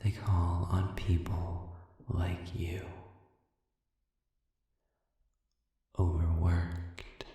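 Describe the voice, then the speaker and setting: A cavernous, heavily echoing space carries the voice of a sadistic demon played by a man. He is dark and low, breathy to the edge of a whisper, and manipulates with formal, condescending, deliberate phrases in an intimate audio roleplay. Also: little pitch movement. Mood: disdainful, insinuating. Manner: measured, deliberate, condescending, formal wording with lingering pauses. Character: suave, sadistic, manipulative male demon prisoner. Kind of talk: audio roleplay, slow intimate monologue addressed to listener. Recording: echoey hall-like reverb, distant.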